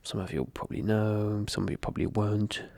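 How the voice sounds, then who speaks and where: A man whose first language is French, talking softly and whispering into a close mic as an ASMR creator. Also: on the low side, fairly even intonation, a little fast, creaky, dry recording. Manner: soft-spoken, drifting into whispers. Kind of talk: soft-spoken ASMR talk.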